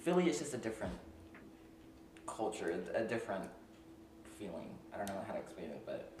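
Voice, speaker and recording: A young man from Philadelphia hosting a casual chat with a friend, chatty and quick to laugh, loose with slang. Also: low-pitched. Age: young adult. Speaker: podcast host. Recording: echoey room, mic a bit far, steady background noise.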